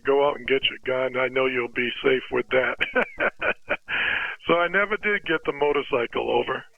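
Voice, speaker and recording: A man's voice: medium pitch, gravelly, no echo. An older American man chatting casually and encouragingly as an amateur radio operator, handing over as he goes. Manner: chuckling, encouraging, uses radio sign-overs. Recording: dry, narrow phone-like radio audio.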